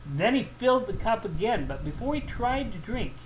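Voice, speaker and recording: A man narrating a folktale as a storyteller, in an expressive, deliberate and emphatic way. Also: high voice, low-quality recording. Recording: faint background noise.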